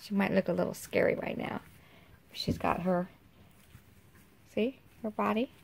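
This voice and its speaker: A woman in her fifties with an American accent, chatting casually into a handheld phone camera, folksy and quick to laugh. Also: medium pitch, fairly even intonation, gravelly, husky. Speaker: vlogger. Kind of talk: casual vlog chat. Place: inside a vehicle.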